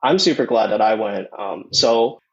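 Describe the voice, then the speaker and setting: A man's voice: lowish voice, normal speed, a bit bright, highs cut off. A young man who grew up in Thailand, chatting casually as a guest musician, with lots of "dude" and "man". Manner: laid-back, many fillers like "dude" and "man". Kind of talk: casual interview chat.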